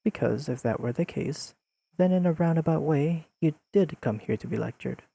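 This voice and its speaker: A man playing a deadpan, pedantic but secretly fond young friend in an intimate audio roleplay, speaking in precise, dryly teasing sentences to the listener. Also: fairly high pitch, moderate pace, vocal fry, husky, no room sound, heavily acted. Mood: dry scolding. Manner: deadpan, precise, formal wording with dry teasing.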